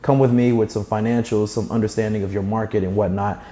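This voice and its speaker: A man's voice: on the low side, steady tone, fast, warm tone. A young man with a slight lisp, speaking fluently and emphatically as a guest telling stories and giving advice in conversation. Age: young adult. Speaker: guest, startup founder. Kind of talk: animated conversational storytelling and advice.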